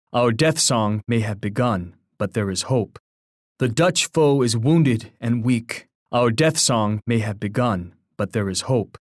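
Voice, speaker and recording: An actor voicing a solemn, dignified war leader in a video game, speaking in grave, formal, poetic oratory. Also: male voice, mid-range voice, darkish tone, no room sound, studio quality, close to an everyday voice. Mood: grave, hopeful. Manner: measured, grave, formal declamation.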